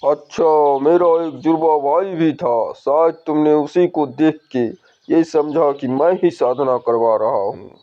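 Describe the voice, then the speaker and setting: A man's voice: fairly high pitch, a little slow, mellow, natural voice. A man voicing a stern tantric sage. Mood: grave. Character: calm older male tantric sage. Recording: dull lo-fi sound.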